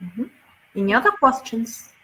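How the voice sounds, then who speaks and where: A woman's voice: a little high, sing-song, normal speed, sharp tone, breathy edge, low-quality recording. A woman with a Russian accent, a webinar speaker answering audience questions in a thoughtful, explanatory way over a video call. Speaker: webinar speaker, teacher trainer.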